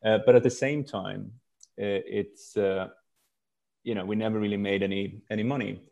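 Low-voiced man, reflective podcast guest. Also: fairly even intonation, moderate pace, creaky, mellow, dull audio. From Stockholm, Sweden. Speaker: podcast guest, brand executive. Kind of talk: casual interview talk.

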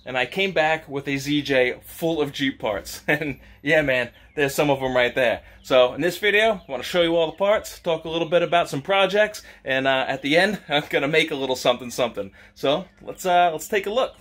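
A man's voice: fairly high pitch, brisk, hardly any pauses. A man in his thirties hosting a casual car-parts vlog, upbeat and chatty, recorded in his home garage workshop on a camera held at arm's length. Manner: excited, upbeat, chatty with laughs. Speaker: channel host. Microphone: handheld camera at arm's length.

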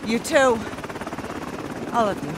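An actress playing a composed, tough middle-aged American intelligence officer in a military game, speaking firmly and without fuss. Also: female voice, fairly high pitch, big pitch swings, slow. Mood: relieved. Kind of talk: cinematic military game acting. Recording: loud vehicle and helicopter noise.

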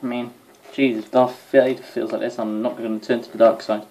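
Young male vlogger, tired rambling chat, mid-pitched, faintly noisy phone recording in a bedroom. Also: flat delivery, brisk. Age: young adult. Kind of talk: casual toy demonstration to camera. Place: small bedroom. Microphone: phone or camera at arm's length. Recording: faint noise, clicks, mobile recording.

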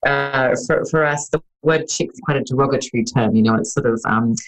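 A woman's voice: very deep voice, fairly quick, rough recording. An Australian woman in her forties telling her story as an interview guest, chatty and full of fillers, on a video call with a podcast mic. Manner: chatty, lots of "um" and "you know". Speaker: guest entrepreneur and author. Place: home room by a plant wallpaper, video call. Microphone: podcast mic in front.

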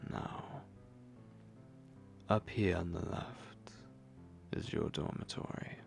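Man acting a gravelly, whispering possessive vampire lord. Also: low-pitched, heavily acted. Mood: menacing, commanding. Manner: commanding, slow, menacing. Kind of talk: intimate ASMR roleplay. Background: music.

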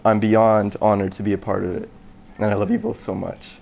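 Young male officiant, lowish voice, sincere and measured, faint room noise behind. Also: level tone, vocal fry, darkish tone. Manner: sincere, measured, earnest delivery. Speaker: wedding officiant, brother of a bride. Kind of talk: heartfelt officiant speech. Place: large open barn venue, indoors.

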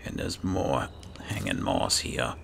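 A man playing an alchemist adventurer and patient mentor in improvised video game roleplay, with a gravelly, breathy low voice and an unhurried, musing manner. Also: sing-song, a bit bright, slight background noise, heavily acted. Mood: calm, instructive. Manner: unhurried, musing, hesitant asides. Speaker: gaming roleplayer. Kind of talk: improvised in-character roleplay narration over gameplay.

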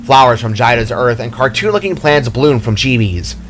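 A man's voice: mid-range voice, animated, moderate pace, fairly bright tone, slight background noise. A man reading his fantasy story aloud as a dramatic scripted narration. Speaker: author narrating own story.

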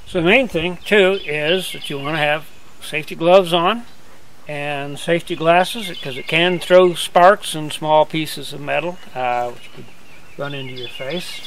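An older man giving a relaxed, step-by-step outdoor demonstration as a sawmill instructor, talking in a plain, easygoing way. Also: fairly high pitch, unhurried, vocal fry, a little hiss or hum. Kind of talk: hands-on demonstration. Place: outdoors on a grassy lawn.

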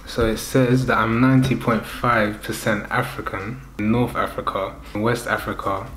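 A man's voice: fairly low pitch, little pitch movement, unhurried, fairly bright tone. A man in his twenties talking casually to camera as he reacts to something. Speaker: participant in a DNA test video. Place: small bare room, indoors, at a desk. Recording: roomy echo, slightly distant.

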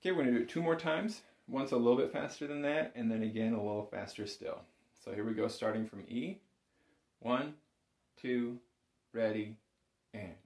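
A man's voice: mid-range voice, moderate pace, a little mellow. A man giving a casual, informal music lesson as an instructor, thinking aloud as he goes. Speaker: music instructor, bagpipe teacher. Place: small home room with shelves, indoors. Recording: roomy, echoing home recording.

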